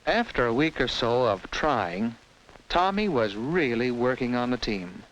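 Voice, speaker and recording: An American man narrates a children's educational film in the scripted style of the 1950s. His mid-pitched voice moves in lively, varied melody as he tells things simply and instructively to young listeners. The vintage film soundtrack carries a faint layer of noise. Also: measured pace, poor audio.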